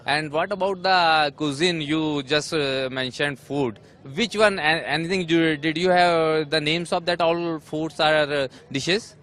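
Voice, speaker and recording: A man's voice: on the high side, a little slow, hardly any pauses, fairly bright tone. A man reporting, asking tourists short questions with many fillers. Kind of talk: street interview.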